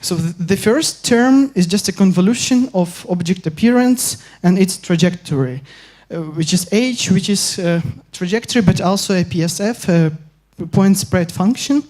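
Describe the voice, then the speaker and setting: Young man from Ukraine, hesitant academic presenter, warm darker voice. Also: high-pitched, animated. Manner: halting, many filler pauses and restarts. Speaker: conference speaker. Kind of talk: academic conference talk.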